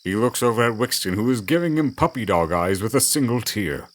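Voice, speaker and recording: A man's voice: on the low side, lively intonation, a little fast. A man reading scripted narration for an audio drama, in a storytelling style.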